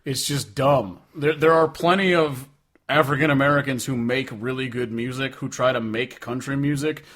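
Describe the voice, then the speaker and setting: A male podcast co-host with a mid-pitched voice gives opinions on music. He talks casually and bluntly, with plenty of swearing. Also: steady tone, normal speed, fairly bright tone.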